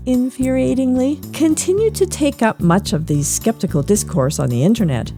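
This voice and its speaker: A woman's voice: mid-range voice, dark tone. A woman reading a scripted newsletter for a podcast as its presenter.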